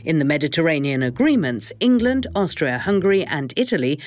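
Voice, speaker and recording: Lively female documentary narrator. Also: low-pitched, dry recording, clean studio sound. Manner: measured, formal, explanatory. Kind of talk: scripted educational film narration. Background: faint music.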